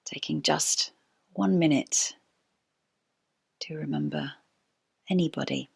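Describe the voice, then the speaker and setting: A woman teaching restorative yoga, giving calm, unhurried guided relaxation in a soft, sometimes breathy voice. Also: deep voice. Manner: calm, unhurried, gentle pauses. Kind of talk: slow guided relaxation instruction.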